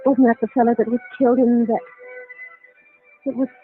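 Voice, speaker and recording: An actress playing an earnest, lovestruck and troubled young woman in a mid-century radio melodrama, measured and dramatic. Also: fairly high pitch, flat delivery, lightly acted. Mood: distressed. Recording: tinny vintage radio recording, music behind.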